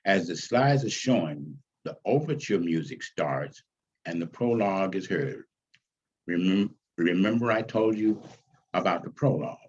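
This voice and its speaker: A man reading his own stage script aloud as its author, with deliberate, dramatic phrasing. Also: sits low, lively intonation, dull audio, slight background noise.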